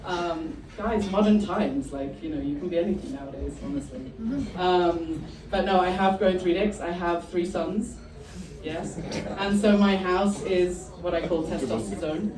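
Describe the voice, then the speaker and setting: Middle-aged woman doing crude stand-up, roasting herself, roomy club sound, loud crowd. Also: on the low side, measured pace. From South Africa. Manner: crude, self-mocking banter with the crowd. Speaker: stand-up comedian. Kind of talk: stand-up comedy set. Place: comedy club with a live audience. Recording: roomy live club sound, mic at a distance, crowd noise.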